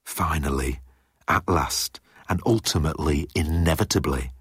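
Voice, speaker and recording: A man's voice: low-pitched, sing-song, slow, husky, no room sound, clean studio sound, exaggerated voice. A man voicing a pompous, menacing alien commander who speaks with pedantic deliberation in an audiobook. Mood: gloating.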